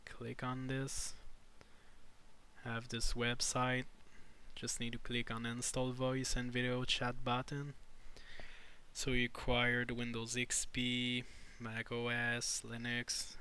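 A man narrating an improvised step-by-step screen tutorial in halting, short instructional phrases. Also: medium pitch, sharp tone, a little hiss or hum. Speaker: tutorial presenter.

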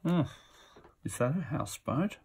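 A man's voice: fairly high pitch, animated, unhurried, creaky, darkish tone, a little hiss or hum. A man giving casual, off-the-cuff commentary as he presents auction items, musing to himself as he goes. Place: indoors at a table.

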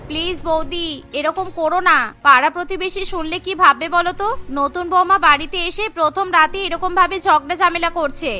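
A woman's voice: very high-pitched, fairly even intonation, a little fast, bright, forceful tone, faint music behind, heavily acted. A woman voicing a young college-going sister-in-law who pleads and complains in a cartoon. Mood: pleading. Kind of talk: Bengali cartoon voice acting.

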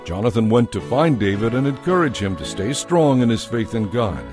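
Male narrator, mid-pitched, dramatized scripture storytelling with light music underneath. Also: sing-song, moderate pace.

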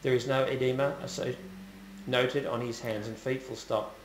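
A man's voice: medium pitch, fairly even intonation, moderate pace. A man dictating formal notes with spoken punctuation, heard as a played-back recording. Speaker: author dictating a medical note. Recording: echoey dictation playback with faint noise.

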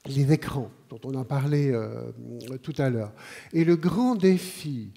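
Unhurried Swiss man on a conference stage. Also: mid-range voice, lively intonation, vocal fry, dark tone. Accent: Swiss French. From Switzerland. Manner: measured, unhurried delivery with frequent pauses and fillers. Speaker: conference speaker. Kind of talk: live conference talk. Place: large auditorium stage.

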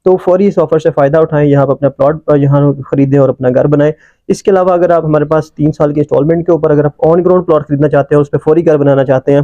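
Man, quick sales patter, slightly high voice, dull dry in-car audio. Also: dark tone, no room sound. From Pakistan. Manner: brisk salesman's pitch with frequent "uh" fillers. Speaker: real estate agent. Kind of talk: drive-through property tour narration. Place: inside a moving car.

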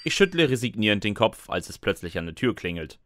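Male storyteller, low pitch, crisp bright tone, sarcastic, resigned, first-person comic narration, scripted. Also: big pitch swings, quick pace, a little hiss or hum. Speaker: narrator and lead of a fan audio drama.

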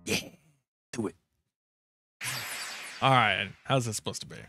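A man's voice: mid-range voice, a little slow, vocal fry, bright, forceful tone. A man chatting casually and informally to viewers as the host of a reaction video, a little self-deprecating. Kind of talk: casual chat to camera. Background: music.

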